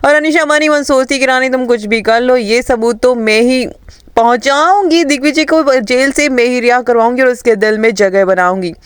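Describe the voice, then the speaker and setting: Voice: medium pitch, normal speed, clear, resonant tone, dry recording, clean studio sound. A narrator recapping an upcoming television serial plot for viewers in an emphatic storytelling manner. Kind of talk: dramatic plot recap narration with quoted dialogue.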